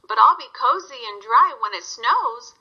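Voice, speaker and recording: A woman's voice: on the high side, expressive, unhurried, husky, dull audio, exaggerated voice. A woman voicing a friendly, stubborn little hedgehog in a children's story. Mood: defiant.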